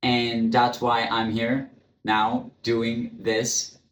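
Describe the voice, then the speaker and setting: A man's voice: mid-range voice, monotone, very slow, a bit bright, slight background noise. A man hosting his own podcast, speaking English as a second language, talking frankly with stutters, restarts and many ums, now and then swearing. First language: not English. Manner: frank, blunt, swears casually. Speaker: podcast host. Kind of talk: candid solo podcast monologue. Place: room, recording on camera. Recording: echoey room, faint ambience.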